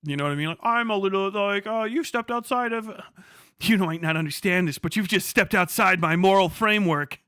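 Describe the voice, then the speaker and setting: A man with a fairly high, sharp and forceful voice hosts a casual livestream. He rants with profanity and sarcasm, slipping into mock dialogues. The sound is dry and close. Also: brisk, clean tone, no echo. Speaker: livestream host. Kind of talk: casual livestream political rant, reading chat.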